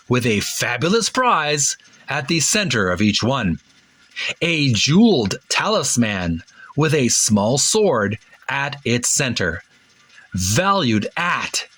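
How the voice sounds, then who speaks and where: A man hosting a retro gaming channel, talking casually and reading aloud in a halting, deliberate, stumbling way. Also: medium pitch, a bit bright, slightly rough. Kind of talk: casual read-aloud commentary.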